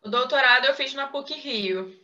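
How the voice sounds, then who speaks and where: A woman with a Rio de Janeiro accent carrying Salvador traces, a philosopher answering interview questions in a measured, academic way. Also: high-pitched, pushed and bright, dull audio. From Brazil, Salvador and Rio de Janeiro. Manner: measured, academic, tags phrases with "né". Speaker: guest, philosopher and psychoanalyst.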